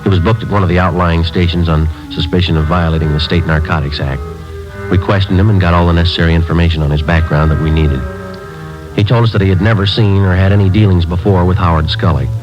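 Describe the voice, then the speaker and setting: An old muffled radio recording with music carries a low-voiced American police sergeant, played by a male actor. He is terse and deadpan, moving quickly through the facts in clipped radio drama style. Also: close to an everyday voice. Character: terse, matter-of-fact male police sergeant narrating his cases. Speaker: narrator and lead police detective. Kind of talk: 1950s radio drama acting, clipped narration.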